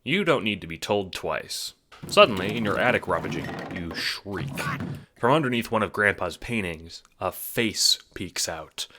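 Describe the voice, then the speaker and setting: A man's voice: on the low side, lively intonation, a little slow, pushed and bright. A man narrating an audio drama in the second person with a wry, theatrical, sardonic manner.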